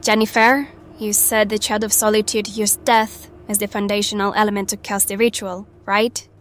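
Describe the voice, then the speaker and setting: A woman's voice: medium pitch, flat delivery, normal speed, fairly bright tone, a little hiss or hum, lightly acted. A woman acting in an audio drama as a mysterious, earnest maiden and fearless ally with cryptic, formal phrasing. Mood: assured, solemn.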